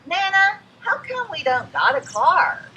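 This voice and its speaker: A woman acts out a small storybook boy, high and bright, full of whiny questions. He sounds curious and put out, outdoors with some noise. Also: very animated, unhurried, exaggerated voice. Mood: puzzled. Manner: whining, questioning. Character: young boy, curious and complaining.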